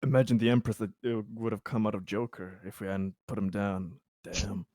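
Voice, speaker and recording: Brief: man at the gaming table, halting jokey delivery, dry. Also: lowish voice, steady tone, a little mellow, no room sound. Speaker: player. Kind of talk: casual tabletop game chat.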